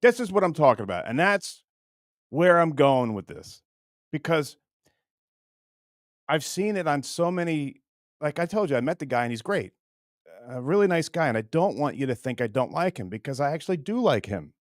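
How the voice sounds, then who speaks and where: A man hosting a casual comedy show, talking straight to viewers in a halting, stop-and-start way. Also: a little high, very animated, no room sound, studio quality. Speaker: show host introducing a puppet bit.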